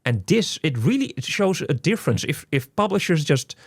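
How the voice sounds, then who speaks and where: A man hosting a casual game review, speaking haltingly and often repeating his words. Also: fairly high pitch, very animated, vocal fry, slightly rough, dry recording, clean studio sound. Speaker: podcast host reviewing a video game.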